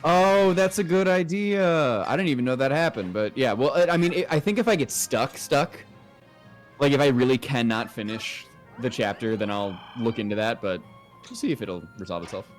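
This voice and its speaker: A man's voice: mid-range voice, moderate pace, soft background music. A man co-hosting a game livestream, chatting casually close to his mic on a voice call. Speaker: livestream co-host. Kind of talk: casual game stream chat.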